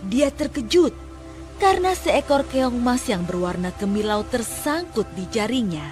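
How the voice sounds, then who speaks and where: A woman's voice: fairly high pitch, expressive, normal speed. A young woman narrating a scripted children's folk tale as storyteller. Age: young adult. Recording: music under the narration.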